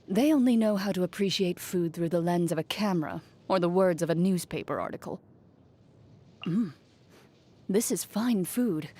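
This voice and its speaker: A woman playing a composed, principled champion duelist in a video game, speaking in a measured, formal, dryly understated manner. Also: on the low side, warm tone, natural voice. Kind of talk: video game character voice lines. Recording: music behind the voice. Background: music.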